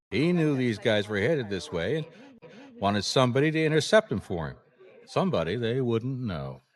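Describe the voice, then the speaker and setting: An actor playing a wry, easygoing private detective in a radio drama, with a dry, teasing delivery. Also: male voice, medium pitch, big pitch swings, moderate pace, natural voice. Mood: amused.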